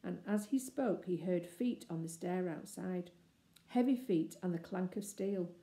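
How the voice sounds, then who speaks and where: A woman reading a children's story aloud as scripted narration, expressive and measured. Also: on the low side. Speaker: storybook reader. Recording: echoey room.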